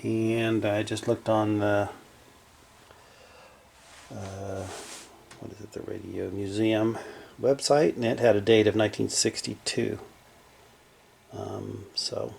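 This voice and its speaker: A man giving casual, unscripted narration as a hobbyist repairer at his workbench, low-key and hesitant as he thinks aloud. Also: creaky, darkish tone, a little hiss or hum. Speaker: hobbyist electronics repairer presenting his project. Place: small indoor home workshop at a bench.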